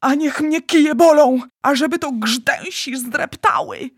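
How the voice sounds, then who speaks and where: A woman voicing an excitable, bossy male giant in a storybook, exclaiming theatrically in garbled made-up words. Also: mid-range voice, takes it slowly, slightly rough, no echo, studio quality. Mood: anguished, angry. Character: excitable male giant dream-catcher, bossy, dramatic, word-mangling.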